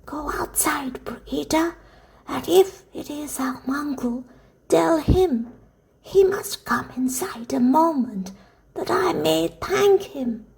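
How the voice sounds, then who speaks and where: A woman voicing a frail, pious old grandmother with a trembling, breathy voice and slow, drawn-out exclamations, in a storybook reading. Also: high voice, expressive, darkish tone, pitched above the performer's own voice, exaggerated voice, poor audio. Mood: amazed, grateful.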